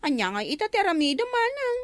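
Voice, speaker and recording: Girl actor as complaining younger sister, super high voice that swoops around, ilocano accent, comedy skit. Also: sing-song, fast talker, no room sound, clean studio sound. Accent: Ilocano Filipino. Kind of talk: amateur Ilocano comedy drama acting.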